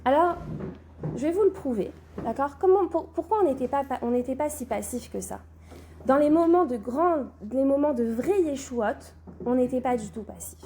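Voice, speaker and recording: Woman teacher with a cold, high warm voice, faint room hum behind. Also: moderate pace. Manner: questions the class, then answers, often saying "d'accord?". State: has a cold. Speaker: religious teacher. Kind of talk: recorded Torah class.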